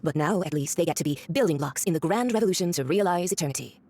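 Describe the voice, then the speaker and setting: A woman's voice: fairly low pitch, lively intonation, quick pace, dark tone. A woman voicing a haughty, mocking villainous diplomat in a video game, condescending and theatrical. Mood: dismissive.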